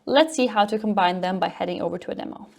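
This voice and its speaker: A woman from Norway speaks English. She presents as a conference speaker, explaining technical material in an informal, upbeat way. Her voice sits at an average pitch for a woman. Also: quick pace, gravelly, a little mellow. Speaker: conference speaker, front-end developer and educator.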